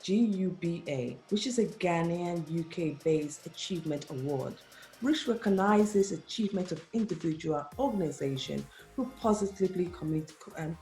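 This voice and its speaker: A woman's voice: sits low, mellow. A woman hosting a conversation show, giving scripted introductions of her guest and asking interview questions. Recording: roomy, echoing recording with music.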